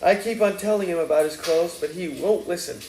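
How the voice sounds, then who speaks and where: A man's voice: a little high, fairly quick, darkish tone, roomy sound, slight background noise, pitched above the performer's own voice, lightly acted, low-quality recording. A man reading aloud and voicing a chatty, doting middle-aged Southern mother in a gushing, folksy way. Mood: fussy gossip.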